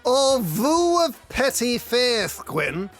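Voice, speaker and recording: A man's voice: extremely high pitch, big pitch swings, extremely slow, a bit bright, soft background music, full character voice. A man acting a pedantic, self-satisfied, rule-abiding detective inspector in a comic audio drama, with a pompous manner. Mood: smug, unflappable. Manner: pompous, pedantic, drops in mangled French.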